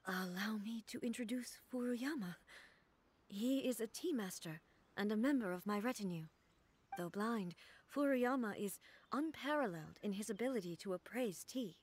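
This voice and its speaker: A woman voicing a composed, regal ruler-god in a video game, measured and solemn. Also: mid-range voice, animated, breathy edge. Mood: formal.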